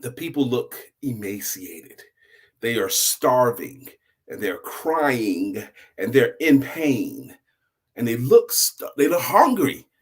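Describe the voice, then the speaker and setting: A middle-aged man telling a story as host, in a husky voice, emphatic and deliberate, with dramatic pauses and hushed drops. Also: medium pitch, sing-song.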